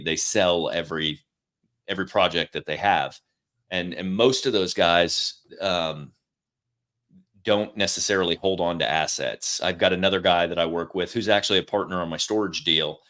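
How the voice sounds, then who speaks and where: A middle-aged man hosting a podcast, talking in a relaxed, rambling way and swearing casually. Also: sits low, normal speed. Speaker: podcast host.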